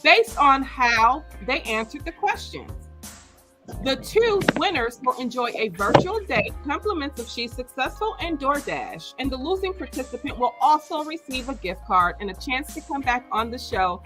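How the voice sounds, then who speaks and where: A woman hosting an online dating game over a video call, upbeat and bubbly, explaining the rules clearly. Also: high-pitched, big pitch swings, normal speed, music in the background. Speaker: game show host.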